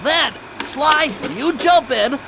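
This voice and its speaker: A man's voice: very high-pitched, expressive, slow, noisy background, full character voice. A man voicing a brainy, nervous turtle who plans heists in a cartoon game, nasal, fussy and precise. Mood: businesslike planning. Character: brainy nervous male turtle, gadget-minded heist planner. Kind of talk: cartoon game voice acting, mission briefing. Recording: music behind the voice.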